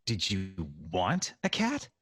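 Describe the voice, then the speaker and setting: A man's voice: fairly low pitch, huge pitch swings, slow, fairly bright tone, no echo, studio quality, exaggerated voice. A man playing a grumbling, cocky young security guard in an audio drama, sardonic in manner. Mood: griping. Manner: sardonic, griping.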